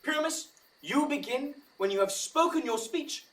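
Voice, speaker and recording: A woman's voice: sits high, lively intonation, normal speed, distant mic, background noise. A woman playing a bossy, easily exasperated director of amateur players in a Shakespearean audio drama, theatrical and commanding. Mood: brisk, bossy.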